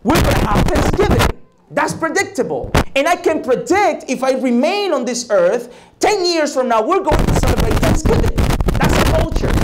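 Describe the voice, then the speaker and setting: A man's voice: extremely high pitch, sing-song, normal speed. Man teaching, noisy stream. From Dominican Republic. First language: Spanish. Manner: repetitive, drills key phrases and quizzes the audience. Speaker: speaker at a church leadership summit. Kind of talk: leadership teaching talk. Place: church. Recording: noisy live-stream recording.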